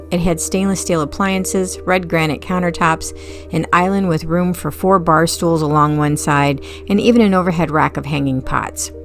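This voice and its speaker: Low-pitched, creaky-voiced woman narrating. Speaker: audiobook narrator. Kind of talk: scripted first-person fiction narration.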